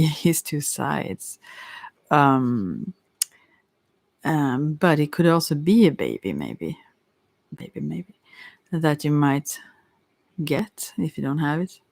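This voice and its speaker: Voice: medium pitch, vocal fry, a little mellow, no echo. Rambling, hesitant tarot reader, dry close sound. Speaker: tarot reader on a live stream. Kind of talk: casual improvised tarot reading chat.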